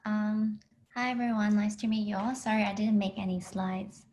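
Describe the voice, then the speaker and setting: A woman in her twenties from Hong Kong, a PhD student giving a plain, unhurried talk over a video call with frequent ums. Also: medium pitch, level tone, darkish tone. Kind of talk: online alumni talk.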